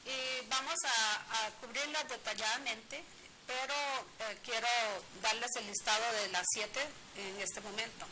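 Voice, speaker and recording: A woman interprets each point right after the speaker, with small fillers and self-corrections. Her voice sits a little high, and clear background noise runs under it. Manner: brisk, with fillers and restarts. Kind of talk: consecutive interpreting. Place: studio set, seated.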